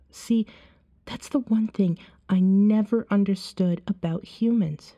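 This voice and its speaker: A woman playing a calm, seductive vampire in an ASMR roleplay, soothing and hypnotic, often slipping into a whisper. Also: mid-range voice, slow pace, dull audio. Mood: frank, curious.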